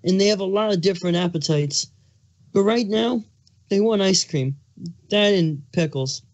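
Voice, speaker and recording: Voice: mid-range voice, moderate pace, dull audio, lightly acted. Pregnant male changeling king, acted by a fan reader: hormonal, exasperated, emphatic, foul-mouthed cartoon monarch. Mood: flustered, explaining. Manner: ranting, exasperated, emphatic swearing. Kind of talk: fan fiction dramatic reading, cartoon characters.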